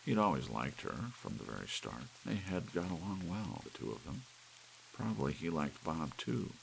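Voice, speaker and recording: Very low male voice, creaky, ASMR audiobook narration, scripted, soft rain and faint hiss. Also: big pitch swings, normal speed, low-quality recording. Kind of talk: scripted story narration, ASMR bedtime reading.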